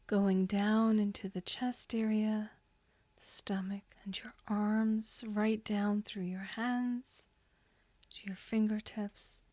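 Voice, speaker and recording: A woman with a soft, breathy, whispery voice leading a guided hypnosis meditation in a soothing, gently instructing way. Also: mid-range voice, flat delivery, slow, no room sound. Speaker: hypnotherapist guiding a session.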